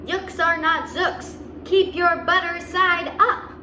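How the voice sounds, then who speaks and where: A woman's voice: very high voice, steady tone, slow pace. A woman reading a children's story aloud expressively, with simple explanatory asides for young listeners. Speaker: story reader and host. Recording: roomy, distant mic, clear background noise.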